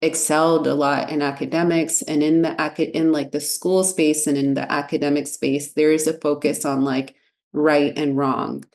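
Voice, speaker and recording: A woman's voice: low-pitched, level tone, moderate pace, darkish tone. A woman in her mid-thirties, an educator and podcast guest, speaking in an earnest, reflective way. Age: 30s. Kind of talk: casual interview chat.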